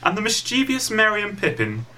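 A man's voice: sits high, very animated, a little fast, pushed and bright, full character voice. A man playing a wry, impatient narrator telling a comic pantomime story from a script. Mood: storytelling.

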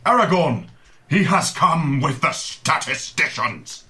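Man playing Gandalf, urgent high-pitched old wizard. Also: expressive, slow, sharp tone, heavily acted. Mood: urgent, exasperated. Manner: exclamatory, scolding. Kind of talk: amateur comic pantomime acting.

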